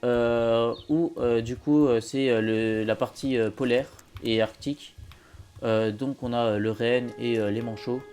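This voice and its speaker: A man giving a casual walkthrough commentary of a game build as host, speaking in a hesitant, halting way. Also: mid-range voice, fairly even intonation, darkish tone, faint music behind. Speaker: host presenting his zoo build.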